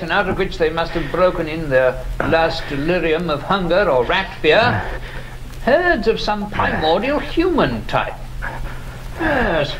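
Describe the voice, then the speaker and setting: Eager scholar-gentleman, widely swooping intonation, learned and exclamatory, horror drama with noisy ambience. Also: high voice, full character voice. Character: fascinated, scholarly gentleman scientist exploring bones. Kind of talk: horror audio drama acting.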